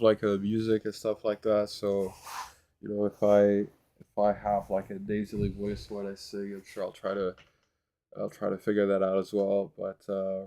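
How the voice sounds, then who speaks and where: A man's voice: fairly low pitch, a little mellow, clear, resonant tone. A man with a congested, nasal voice talking casually in a vlog, rambling and hesitant with many fillers and restarts. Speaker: vlogger. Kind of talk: casual self-recorded vlog.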